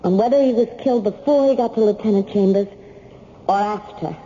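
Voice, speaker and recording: An American actress in a radio mystery playing an earnest, insistent wife, speaking in a firm, pointed way. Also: female voice, mid-range voice, a little fast, dark tone, natural voice. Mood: tense, careful. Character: earnest, insistent, loyal wife of accused man. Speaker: radio drama actor. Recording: dull, noisy old radio broadcast.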